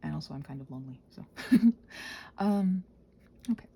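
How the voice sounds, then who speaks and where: Woman rambling casually, animated pitch, mellow voice. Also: normal speed, vocal fry, slightly rough. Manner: scattered, self-deprecating, trails off and digresses. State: tired, congested from a sinus infection, slightly sore throat. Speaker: solo host of a rambling audio channel.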